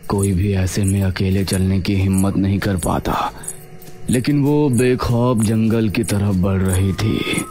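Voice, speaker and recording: A male storyteller with a lowish voice reads a scripted horror tale at a very slow, measured pace. Soft music plays behind him. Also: steady tone. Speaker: narrator.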